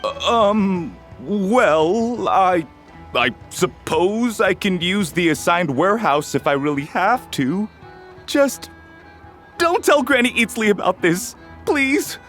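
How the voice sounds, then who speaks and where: A man's voice: sits high, sing-song, slow, fairly bright tone, full character voice. A man playing a fussy, earnest young relic seller in a video game. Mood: flustered, sheepish. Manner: indignant, hesitant when challenged. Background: music.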